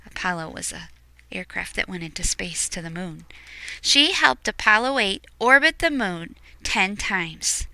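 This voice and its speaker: A woman reads a children's storybook aloud at an unhurried pace. Her voice is very bright and crisp, recorded close and dry. She is expressive and drops into quieter explanatory asides for young listeners. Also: medium pitch, dry recording. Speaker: picture book reader.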